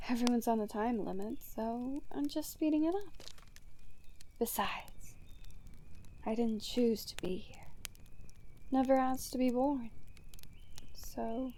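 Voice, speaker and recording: Casting: actress for gleeful female supervillain, chaos-loving, ASMR audio roleplay. Slightly high yet soft and dark, teasing, breathy. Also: slight background noise. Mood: nonchalant, philosophical. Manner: teasing, breathy, often dropping to near-whispers. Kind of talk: ASMR roleplay monologue to the listener.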